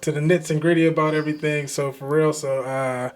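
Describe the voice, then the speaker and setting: A man from the Pittsburgh area hosts a casual podcast chat. He talks in a loose, rambling way with plenty of fillers and easy laughter. His voice sits a little high for a man. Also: flat delivery, fairly quick. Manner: laid-back, filler-heavy, laughs often. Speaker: podcast host.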